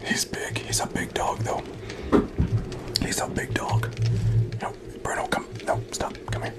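A young man hosting a casual livestream, greeting viewers and playfully scolding his dog in a whispery voice. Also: a little slow. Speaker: livestream host.

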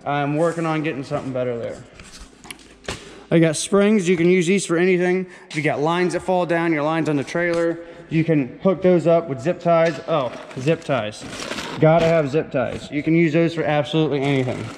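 A man's voice: fairly high pitch, normal speed, darkish tone. A man talking casually as a trucker vlogger, showing his gear in informal, slangy language on a handheld camera. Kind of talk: casual walk-through vlog, chatty and informal. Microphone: handheld camera on small tripod, no foam cover. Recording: reverberant, noisy handheld camera audio with handling clicks.

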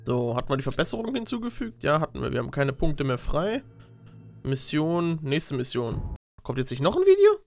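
A man's voice: fairly high pitch, wildly swooping pitch, brisk, creaky, darkish tone. A young man doing casual let's play commentary on a headset, grumbling and swearing as he goes. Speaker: let's player. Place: indoors at a computer. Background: game music.

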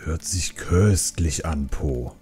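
A man voicing an easygoing older panda brewmaster uncle in a fantasy audiobook, with a deep, husky rumble and an unhurried, genial manner. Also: dark tone, soft background music.

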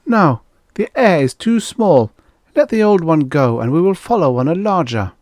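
A man voicing a defiant, scheming primitive woman in a storybook, with sharp, scornful retorts. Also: a little high, sing-song, moderate pace, no echo, studio quality, pitched above the performer's own voice. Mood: indignant.

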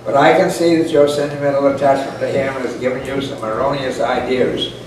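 A man's voice: mid-range voice, steady tone, brisk. Elderly man reading aloud, very echoey room. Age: 80s or older. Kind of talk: folksy humorous story reading aloud to a live group. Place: indoor parlor with a small audience. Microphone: camera microphone at a distance. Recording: echoey, noisy room recording from far off.

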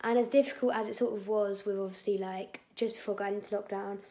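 A woman, chatting casually to a phone camera at home in a husky, strained voice, rambling with lots of fillers. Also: medium pitch, fairly even intonation, quick pace, mellow. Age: 20s. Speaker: vlogger. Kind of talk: casual vlog chat. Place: indoors at home, bedroom and kitchen. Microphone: phone camera held at arm's length.

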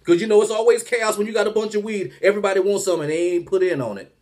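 Exasperated high-voiced comedian ranting. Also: male voice, rapid-fire. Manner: animated, exasperated, rhetorical questions. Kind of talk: comedic rant to camera. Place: small room at home, indoors.

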